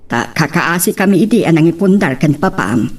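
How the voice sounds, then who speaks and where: A woman's voice: low voice, expressive, fairly quick, husky, distant mic. An actress playing a firm, middle-aged businesswoman mother in an Ilocano drama. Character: mature businesswoman mother, firm, planning for her daughter. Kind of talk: Ilocano radio-style drama acting.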